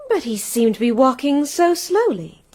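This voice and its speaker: A woman acts an inquisitive wife in a radio ghost drama. Her high, very animated voice is earnest and probing, with an anxious edge to her questions. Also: normal speed. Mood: curious, watchful. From New York. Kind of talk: radio play acting.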